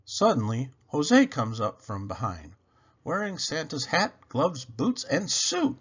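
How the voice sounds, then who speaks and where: A man reading a children's storybook aloud with dramatic, animated narration. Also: fairly high pitch, slow pace, slightly rough, muffled recording.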